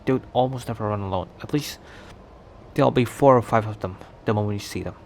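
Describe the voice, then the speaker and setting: A man playing a cocky, protective young werewolf stranger in an intimate ASMR roleplay, talking in a teasing, halting conversational way. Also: fairly low pitch, darkish tone, slight background noise. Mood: cautioning, earnest. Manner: teasing, breathy sighs and chuckles, halting conversational delivery. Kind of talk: ASMR roleplay acting, intimate one-sided dialogue.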